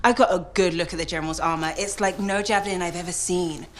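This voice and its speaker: A woman's voice: on the low side, steady tone, quick pace, a little hiss or hum, lightly acted. An actress playing an earnest, analytical female technical specialist in a video game, speaking in a thoughtful, careful way. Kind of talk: video game cutscene acting. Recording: faint background music.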